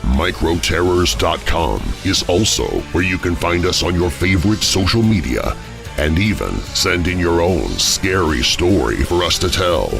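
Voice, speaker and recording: Deep, creaky male podcast host. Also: lively intonation, a little slow, music in the background. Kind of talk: scripted podcast sign-off over music.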